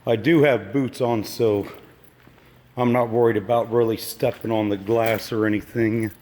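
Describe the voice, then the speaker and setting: A man with a slightly froggy voice from allergies, giving halting, musing, folksy commentary as he walks around exploring with a handheld camera. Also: fairly low pitch, steady tone, darkish tone. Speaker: urban exploration video maker. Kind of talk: casual unscripted walk-through commentary. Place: walking around an abandoned park site.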